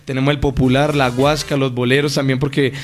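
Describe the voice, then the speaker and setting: Fast-talking singer. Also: male voice, mid-range voice, flat delivery. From Colombia. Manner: eager, talks at length, passionate. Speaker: singer guest. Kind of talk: radio interview.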